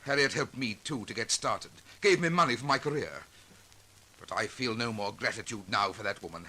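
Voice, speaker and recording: Forceful, bitter accused man. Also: fairly low pitch, moderate pace, rough recording. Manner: intense, impassioned, melodramatic. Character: bitter, desperate accused man fighting to clear himself. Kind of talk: 1940s radio drama acting.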